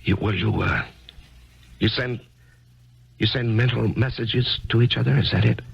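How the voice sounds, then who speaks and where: An actor in a 1970s radio drama playing a grieving, bewildered writer who stammers and keeps pressing with questions. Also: male voice, medium pitch, fairly even intonation, moderate pace, gravelly, breathy edge, exaggerated voice. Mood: flustered, prying. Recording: dull lo-fi archival radio broadcast.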